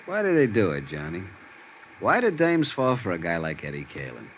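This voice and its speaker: A gruff, tired police sergeant of old radio noir. His low voice is blunt and hard-boiled. The vintage recording sounds muffled, with faint noise. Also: wildly swooping pitch, moderate pace. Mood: weary, resigned. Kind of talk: 1950s radio noir acting.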